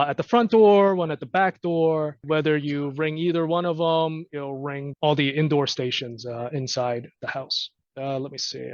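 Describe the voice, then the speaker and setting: A man working as a technical trainer gives an unscripted, step-by-step software walkthrough, informally thinking aloud as he goes. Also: a little high, no room sound. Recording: dry, dull lo-fi audio.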